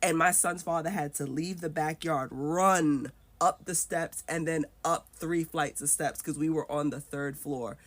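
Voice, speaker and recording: A woman's voice: low voice, fairly even intonation, measured pace, few pauses. Woman telling a personal story, vivid and emotional. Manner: vivid, emotional retelling that acts out the voices it quotes.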